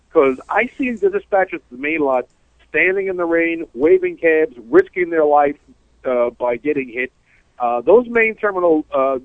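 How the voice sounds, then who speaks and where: A man, talking as a cab-driving co-host on a call-in show. His voice sits a little high and swings up and down as he rambles through his complaints. It comes over a tinny, dry phone line. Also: dry recording. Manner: ranting, animated, rambling complaints. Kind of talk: casual radio talk show chat.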